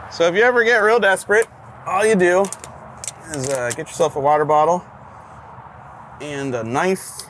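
A man's voice: a little high, normal speed, pauses often, a bit bright. A man in his fifties, a host talking casually and joking while he shows a roadside car fix outdoors. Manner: easygoing, joking, explains while working. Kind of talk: casual garage how-to chat. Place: outdoors by a car, then inside the car. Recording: outdoor background noise.

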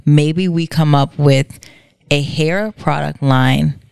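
A young woman co-hosts a relaxed podcast from home, chatty and animated. Her voice has a slight gravel and a smooth, resonant edge. She sits close to a desk mic in a dry room. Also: low-pitched, takes it slowly, dry recording. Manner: chatty, laughs often, animated. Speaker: podcast co-host. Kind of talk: casual chat. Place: home living room by a staircase. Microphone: studio mic on a desk stand, headphones on.

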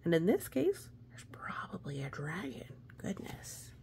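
A woman reading a children's storybook aloud expressively, with gentle asides and hushed, suspenseful phrasing. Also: on the low side, unhurried, creaky. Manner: expressive, hushed suspense, often dropping to a whisper. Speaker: storybook reader.

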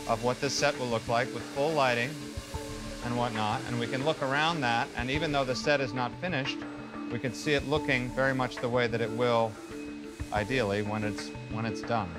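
A man's voice: mid-range voice, steady tone, moderate pace. A middle-aged man giving an informal, slightly hesitant explanatory walkthrough of a film set. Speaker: film production designer. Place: large unfinished film set, indoors. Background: music.

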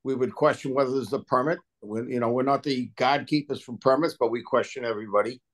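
A man's voice: mid-range voice, quick pace. Plain-spoken man explaining technical matters. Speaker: water and sewer agency official at a hearing. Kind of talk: informal technical explanation at a public meeting. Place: remote video call.

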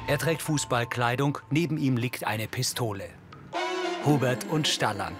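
A man's voice: mid-range voice, measured pace, loud music behind the voice. A man reading scripted audio description in a neutral, factual manner. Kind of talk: scripted narration.

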